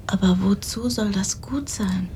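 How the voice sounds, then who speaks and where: A woman's voice: unhurried, mellow, lightly acted. Woman as a timid, meek young woman. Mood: doubtful, meek. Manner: meek, hesitant, terse. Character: timid, naive, doubting poor young woman. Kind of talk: radio drama acting. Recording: rumbling noise behind.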